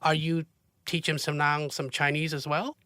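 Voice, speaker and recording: A man hosting a podcast, his voice sitting a bit high and sounding bright and forceful. He talks in an eager, chatty way with lots of likes and you-knows, recorded close and dry with no room sound. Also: level tone, moderate pace, no echo. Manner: chatty, enthusiastic, frequent fillers. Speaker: podcast host. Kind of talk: casual interview conversation.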